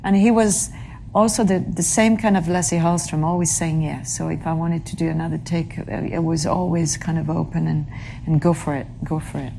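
A woman being interviewed about her acting career, speaking haltingly and often pausing to search for the right English word. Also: low voice, steady tone, gravelly, dark tone, a little hiss or hum. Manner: halting, searches for English words, many fillers. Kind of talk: reflective interview answers.

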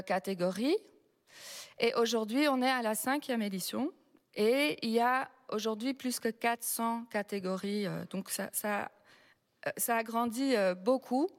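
A woman who is not a native French speaker, a researcher speaking as a panel guest, explaining at a measured pace with frequent hesitations. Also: on the high side. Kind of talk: public panel talk. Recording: long hall reverb.

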